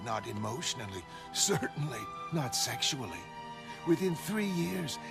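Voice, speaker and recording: A man's voice: fairly high pitch, vocal fry. A man playing a bitter, sickly, wronged woman in a motion comic, speaking in a wounded, confessional way. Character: bitter, sick, wronged ex-girlfriend, a smoker. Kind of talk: motion comic dramatic reading.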